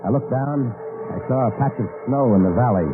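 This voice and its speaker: An actor playing a wry American secret agent who also narrates in an old-time radio drama. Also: male voice, medium pitch, a little fast, loud music behind the voice, lightly acted. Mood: reflective narration. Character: Frank, wry American secret agent behind enemy lines. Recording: tinny, noisy old radio recording.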